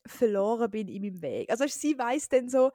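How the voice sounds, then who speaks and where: Giggly Eastern Swiss woman, slightly high voice. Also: sing-song, fast, warm tone, no echo, studio quality. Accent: Eastern Swiss German. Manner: chatty, giggly, full of fillers. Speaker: podcast co-host. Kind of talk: casual chat.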